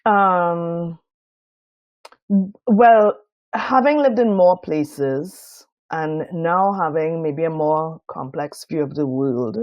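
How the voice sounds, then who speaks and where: A Trinidadian woman in her fifties, talking as a podcast guest. She is thoughtful and often pauses with fillers. The sound is dry and close. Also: low voice, dry recording, highs cut off. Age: 50s. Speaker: guest designer. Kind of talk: reflective podcast interview.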